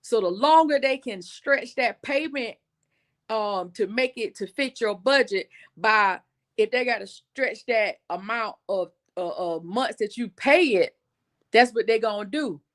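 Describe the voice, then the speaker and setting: A woman's voice: on the high side, lively intonation, unhurried. A woman, hosting a casual livestream and explaining things to viewers in a talkative way, often repeating herself for emphasis. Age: 40s. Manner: conversational, addresses viewers as y'all, repeats points for emphasis. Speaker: livestream host.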